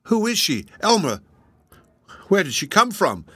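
An anguished middle-aged gentleman acted by a male narrator speaks in dramatic, broken phrases. His pitch is pushed up from a normal talking level. It is a storybook character in a dry recording. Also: lively intonation, moderate pace, no echo, close to an everyday voice. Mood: stunned, remorseful.

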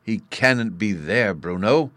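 A man voicing a gentle, earnest young girl in a storybook, a protective big sister with a pleading manner. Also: medium pitch, lively intonation, normal speed, no echo, pitched above the performer's own voice, natural voice. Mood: indignant.

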